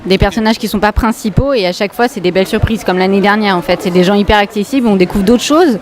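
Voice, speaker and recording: A woman's voice: mid-range voice, fast, a crowd in the background. A woman at a convention answering a question with enthusiasm. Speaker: convention attendee. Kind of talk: casual interview.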